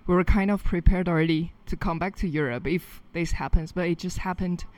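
Woman guest from China, calm and matter-of-fact, casual chat. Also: fairly low pitch, a little fast. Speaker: interview guest. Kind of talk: casual conversation.

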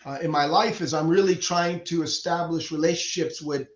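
A man's voice: fairly high pitch, steady tone, moderate pace. A middle-aged man from Cuba, a surgeon guest explaining his views earnestly, often restarting his sentences. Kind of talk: conversational interview. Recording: echoey dull lo-fi room sound.

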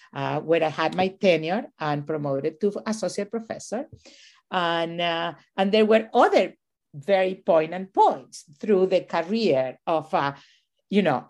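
A middle-aged woman from Chile, raised in Venezuela, speaks in a slightly low voice as an interview guest. She reflects on things at length, circling back with fillers and restarts as she works her thoughts out. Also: a little mellow. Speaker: university administrator and professor, podcast guest.

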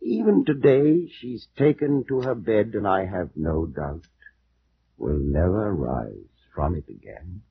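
An actor playing an ailing, nervous gentleman who swings between frenzy and gloom in 1940s radio style. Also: low voice, big pitch swings, slow pace, vocal fry, full character voice. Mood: gloomy. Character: ailing, haunted, nervous gentleman, feverishly excitable. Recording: muffled, noisy old radio recording.

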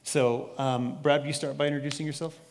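A man leading a church service as pastor, speaking in a relaxed, friendly, conversational way. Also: fairly high pitch, fairly quick. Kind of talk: sermon introduction. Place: church sanctuary. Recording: long hall reverb.

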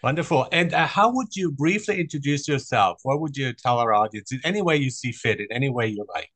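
A man's voice: medium pitch, expressive, fairly quick, a bit bright, no echo. A man hosting an interview over a video call, friendly and a bit rambling, repeating words as he goes. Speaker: interview host. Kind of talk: conversational interview.

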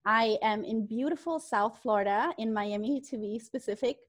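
A German-born woman in her thirties with a German accent, answering interview questions frankly, laughing easily and restarting her sentences. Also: fairly high pitch. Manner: frank, self-deprecating, laughs often, halting restarts. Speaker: guest, real estate agent.

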